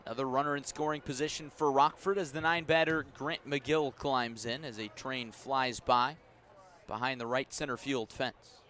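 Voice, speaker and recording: Male American radio play-by-play voice, medium pitch, measured baseball narration that can lift for big plays, broadcasting from a ballpark. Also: fairly even intonation, pushed and bright. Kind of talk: live sports commentary.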